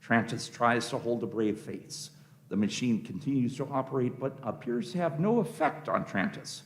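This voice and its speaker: A man's voice: medium pitch, animated, normal speed. Man narrating a radio play.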